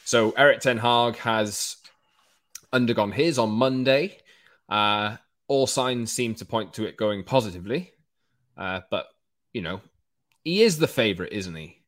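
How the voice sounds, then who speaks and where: A Welsh man hosting a football podcast, talking in a quick, chatty, energetic way. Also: lowish voice, no room sound, studio quality. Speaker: podcast host. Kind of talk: casual football podcast chat.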